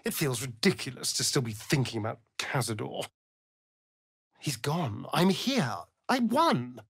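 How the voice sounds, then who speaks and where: An actor playing an arch, flirtatious, sardonic vampire rogue in a video game, with a theatrical drawl. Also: male voice, medium pitch, big pitch swings, unhurried, fairly bright tone, husky, no room sound, clean studio sound, full character voice. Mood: bitter, resolved. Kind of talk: video game character dialogue.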